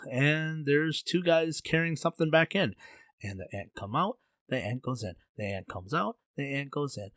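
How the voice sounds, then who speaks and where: A man's voice: a little high, dry recording, studio quality. Man as a sly, laid-back folktale trickster. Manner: cool, slangy, bargaining.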